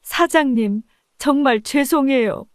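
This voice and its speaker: A woman acting a long-serving female kitchen helper in an audio story. The voice is very high and dry, meek, remorseful and apologetic throughout. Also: a little slow, no echo. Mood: guilty, pleading.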